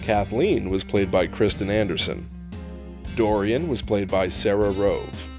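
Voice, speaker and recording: A man's voice: fairly low pitch, a little slow, over music. A young man reading scripted end credits as the creator of an audio drama show.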